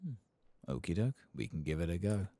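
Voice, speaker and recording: A man giving soft-spoken ASMR game commentary in a gentle, breathy murmur that often drops toward a whisper, close to the microphone. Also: low-pitched, sing-song, moderate pace, dry recording. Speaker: game streamer.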